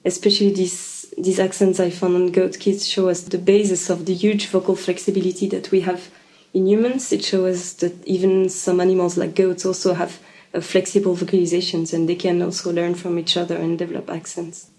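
A woman from Switzerland, a biologist answering interview questions thoughtfully, with frequent ums and pauses. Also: lowish voice, flat delivery, dark tone. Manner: thoughtful, hesitant, many ums and uhs. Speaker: biologist guest.

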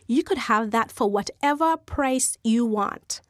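A woman's voice: on the high side, animated, a little slow, dry recording, studio quality. A woman voicing a fed-up male land seller, dismissive. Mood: exasperated. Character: exasperated island land seller, man.

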